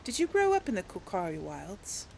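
A woman's voice: medium pitch, wildly swooping pitch, moderate pace, creaky, a little mellow, slight background noise. A woman playing a role-play video game, talking in a casual, musing way as she comments and voices dialogue choices. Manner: giggly, musing. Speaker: gamer hosting a role-play let's play.

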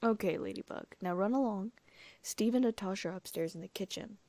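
A woman's voice: mid-range voice, fairly quick, mellow, dry recording, close to an everyday voice. A woman reading as a doting, teasing dad. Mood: playful. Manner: playful, teasing. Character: teasing inventor father, doting.

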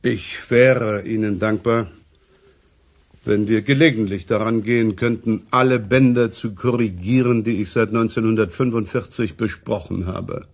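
A man acting a pompous, self-important essayist and professor in a radio play, with deliberate, oratorical phrasing. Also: on the low side, fairly even intonation. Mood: pompous, courteous.

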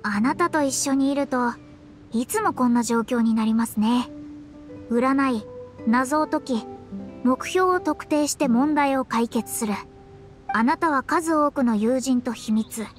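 A woman's voice: high voice, unhurried. Young female astrologer, proud, composed, formal and polite, slightly lecturing, faint music underneath. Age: young adult. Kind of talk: game voice acting.

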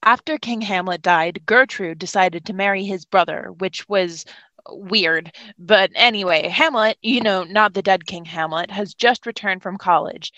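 A woman's voice: mid-range voice, unhurried, sharp tone, dry recording, lo-fi sound. A woman hosting a tabletop game podcast as game master in a playful, theatrical, jokey way.

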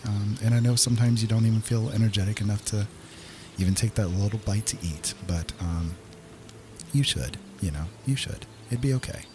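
A man's voice: lowish voice, moderate pace, noisy background. A man giving casual gameplay commentary as the host of a gaming video, talking directly to viewers.

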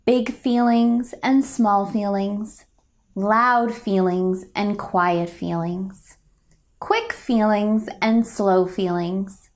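A young woman reading a children's picture book aloud in a gentle, expressive, deliberate storytelling manner. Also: mid-range voice. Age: young adult. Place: indoors, a home room.

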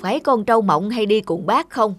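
A woman's voice: mid-range voice, lively intonation, normal speed, over music, natural voice. A woman playing a helpful, earnest village neighbour in a cartoon folk tale. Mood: concerned.

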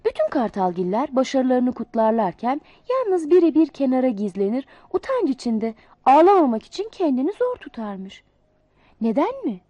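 Woman, children's fable narrator, expressive, dry close sound. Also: high-pitched, moderate pace, no room sound, dull audio. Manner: expressive, sing-song storybook delivery. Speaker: storyteller. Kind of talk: reading a children's fable aloud.

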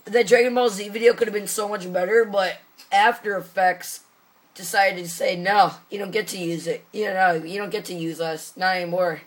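A teenage boy with a hoarse voice, chatting casually and jokingly into a webcam from his room, wearing a gaming headset. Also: high-pitched, fairly even intonation, moderate pace. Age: teens. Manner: rambling, joking, tired. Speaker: vlogger. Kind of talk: casual chat. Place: small room at home, indoors.

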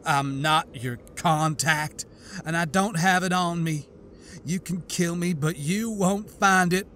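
A man's voice: slow, fairly bright tone, slight background noise. A man reading an audiobook, voicing an injured, grief-stricken female scientist and mother who pleads and wails in pain. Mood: snarling. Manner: pained, pleading, turns frantic and shrill. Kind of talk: audiobook character voice.

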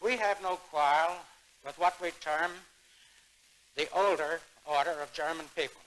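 An American man making a prepared 1930s protest speech with deliberate, oratorical phrasing. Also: a little high, fairly bright tone, faint music behind, low-quality recording. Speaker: speaker at town meeting. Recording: vintage 1930s newsreel recording.

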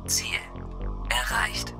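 An actor playing a loyal, precise combat robot in a retro sci-fi radio drama, formally naming each emotion before speaking. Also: male voice, drawn-out words, over music. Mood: polite, precise. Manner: formal, precise, labels own emotions aloud.

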